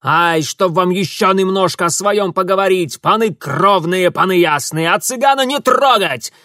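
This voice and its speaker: A cunning young vagabond pleads and wheedles in a raised, high, sing-song voice, as a male audiobook narrator puts him on. The tone is folksy and insistent. The recording is dry and close. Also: normal speed, sharp tone, dry recording. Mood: wheedling.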